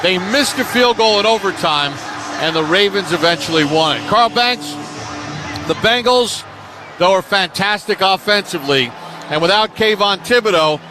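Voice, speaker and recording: A man hosting a sports radio pregame show, giving live play-by-play style commentary. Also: high voice. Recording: noisy stadium ambience behind the voice.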